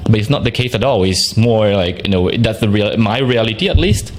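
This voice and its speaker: A French-accented man in his forties answers interview questions at a slightly low pitch. He weighs his words, pausing and restarting sentences as he thinks them through. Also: lively intonation. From France. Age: 40s. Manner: thoughtful, hesitant, many restarts. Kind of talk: documentary interview.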